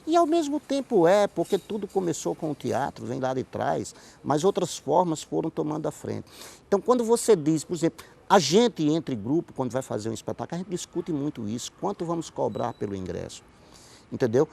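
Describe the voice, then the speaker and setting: Male interview guest, Brazilian Northeastern accent. Lively pitch swings, gravelly edge, emphatic explainer. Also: brisk. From Pombal, Paraíba, Brazil. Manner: emphatic, explanatory, checks listener understands. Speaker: interview guest, playwright and theater director. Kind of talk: conversational interview answer. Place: outdoor hotel terrace.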